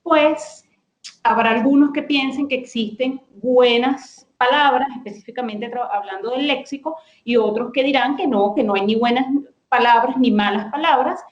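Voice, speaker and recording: A woman's voice: fairly high pitch, normal speed, highs cut off. Thoughtful woman linguist, echoey room. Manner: thoughtful, with many hesitations and restarts. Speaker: guest lexicographer. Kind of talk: explanatory conversation.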